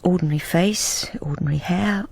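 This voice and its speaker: An actress playing a timid, self-effacing, lonely British wife in a radio drama, confiding in a plain, hesitant, apologetic way. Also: female voice, fairly low pitch, normal speed, creaky, a little mellow, husky. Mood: wistful confiding. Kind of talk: radio drama with intimate first-person narration.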